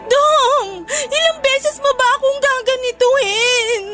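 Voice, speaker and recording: A woman's voice: extremely high pitch, takes it slowly, music in the background. A woman playing a hurt, suspicious, clingy young girlfriend in a Tagalog radio drama, emotional and confrontational. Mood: tearful hurt.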